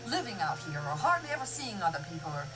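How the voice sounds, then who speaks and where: Long-suffering farm aunt, animated. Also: female voice, on the low side, fast. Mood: worried, plaintive. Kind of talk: 1980s radio drama acting. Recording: 1981 radio drama with music behind.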